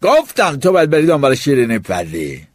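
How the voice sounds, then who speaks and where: A man voicing a stern male driving instructor who lectures slowly and gruffly. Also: mid-range voice, huge pitch swings, no echo, voice pushed up. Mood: lecturing. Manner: slow, lecturing.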